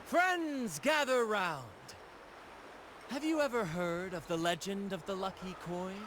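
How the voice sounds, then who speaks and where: A flamboyant young pirate captain from a video game, speaks in a high, swooping voice. He declaims like a showman, taking his time, with music behind him. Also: animated, measured pace, fairly bright tone, slight background noise. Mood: grandiose. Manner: theatrical, declamatory showman delivery. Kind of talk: theatrical video game voice acting. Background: game background music.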